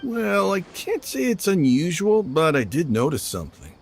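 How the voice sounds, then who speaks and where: A man's voice: on the high side, wildly swooping pitch, moderate pace, gravelly, a little hiss or hum, heavily acted. A man playing a casual, defensive, somewhat gossipy clerk in a video game. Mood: wary, chatty. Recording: faint game music behind voice.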